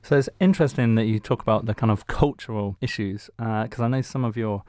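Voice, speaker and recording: Middle aged guy co-hosting an interview, fairly low voice, informal, bit hesitant, dry room. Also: fairly quick, darkish tone, no room sound. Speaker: interview co-host. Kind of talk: conversational interview.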